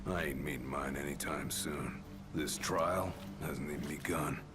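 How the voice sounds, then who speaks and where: An actor playing a brash young bodyguard who talks bluntly and casually. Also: male voice, a bass voice, fairly even intonation, a little slow, very noisy. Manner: blunt, cocky, casual speech. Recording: game audio with music.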